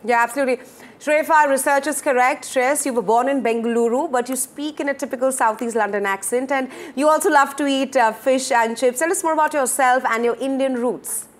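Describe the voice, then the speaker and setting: Woman TV news anchor, higher-pitched, formal and measured interviewer. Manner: measured, formal presenting with brief listening murmurs.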